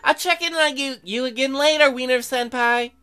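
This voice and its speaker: A man acts out a schoolgirl shark from a dating game, pitched high and bright with eagerness. She is polite and a little formal, the welcoming class president. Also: moderate pace, clean tone, soft background music.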